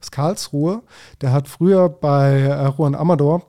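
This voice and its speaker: A man's voice: a little high, measured pace, warm tone. A man co-hosting a food podcast, talking casually with informal slang and the odd English phrase.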